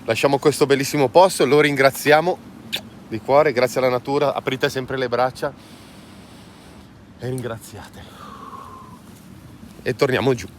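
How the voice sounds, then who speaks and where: A man's voice: medium pitch, moderate pace, pauses often. Casting: enthusiastic male vlog host, friendly casual chat, recorded outdoors with faint ambient noise. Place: mostly outdoors in mountain valley and woods.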